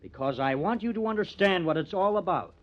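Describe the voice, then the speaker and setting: A dull, lo-fi radio play recording carries a high-set male voice that swoops dramatically. a cunning, bitter artist, taunting and intense as he gloats over his scheme. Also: lively intonation, a little fast. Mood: smug, scheming. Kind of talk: old-time radio drama acting.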